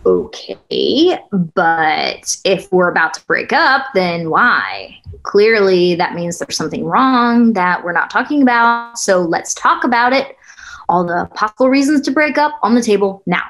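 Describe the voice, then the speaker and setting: A woman's voice: medium pitch, big pitch swings, unhurried, a bit bright. A woman in her thirties acting a frank young woman at a screenplay table read. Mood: pressing. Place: plain indoor room, video call.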